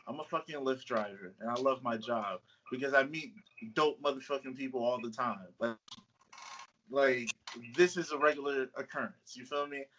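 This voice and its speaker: Bright, profane podcast co-host. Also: male voice, medium pitch, normal speed. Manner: loose, profane, hyped. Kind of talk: casual chat. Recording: dull lo-fi sound, faint hiss.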